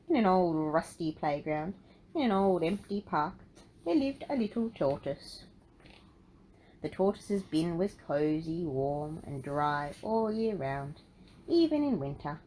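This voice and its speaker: A woman with a slightly low voice reads a picture book aloud for children. Her storytelling is expressive and deliberate, and the recording carries clear background noise. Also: rough recording.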